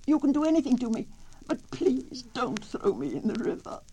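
A small, frightened baby turtle boy in a storybook, as a woman narrator acts him out. His voice is raised well above her own and bounces about, high and pleading. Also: expressive, slow pace, dark tone, a little hiss or hum.

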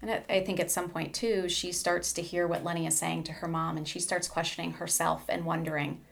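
Low-pitched woman explaining in an interview. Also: little pitch movement, fairly quick, vocal fry. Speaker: educator, podcast guest. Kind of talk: book discussion interview.

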